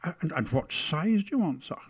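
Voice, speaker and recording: A man's voice: on the high side, animated, a little fast, husky, pitched above the performer's own voice. A man voicing a round, politely formal menswear shopkeeper in a story, with a deferential manner. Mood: polite, regretful.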